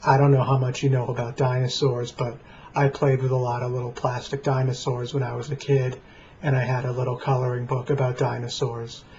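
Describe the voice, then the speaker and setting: An American man rambling casually and deadpan to camera in a plain, flat voice. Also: medium pitch. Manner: deadpan, dry. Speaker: vlogger. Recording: dull lo-fi webcam sound, faint noise.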